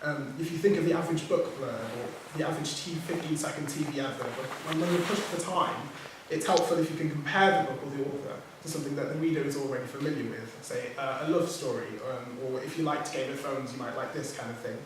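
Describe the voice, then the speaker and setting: A man who speaks English as his first language, reading a prepared talk as a poet on a writers' panel, measured, with small self-corrections. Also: medium pitch. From Nigerian heritage, raised outside Nigeria. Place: theatre hall. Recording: echoey hall from far off, background noise.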